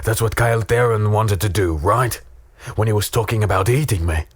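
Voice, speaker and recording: A man playing a battered, weary human in a dark fantasy audio drama, wry and fatalistic as he tells his story. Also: lowish voice, moderate pace, breathy edge, dry recording. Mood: despairing, pained.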